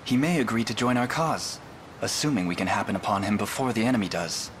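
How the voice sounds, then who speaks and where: An actor playing a resolute young prince who speaks formally in a fantasy video game. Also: male voice, lowish voice, lively intonation, fast talker, slight background noise, natural voice. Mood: courteous, determined. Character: earnest, resolute young male prince and leader. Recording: game music clearly behind.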